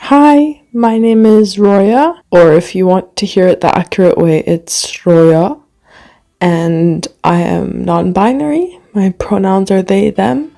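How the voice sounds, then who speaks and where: A woman's voice: medium pitch, takes it slowly, gravelly, mellow. A woman introducing herself as a new podcast guest in a friendly, careful way. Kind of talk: prepared self-introduction.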